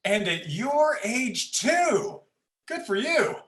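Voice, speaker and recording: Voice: mid-range voice, big pitch swings, slow, fairly bright tone. An actor playing a snide, lewd, impatient cat in a stage script reading.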